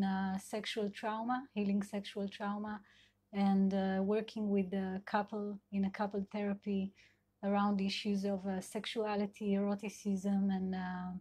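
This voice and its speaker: A middle-aged woman with an Israeli accent, a sex and couple therapist whose first language is Hebrew, giving a live online talk in a gentle, searching way with many fillers. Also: medium pitch. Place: at home in Israel.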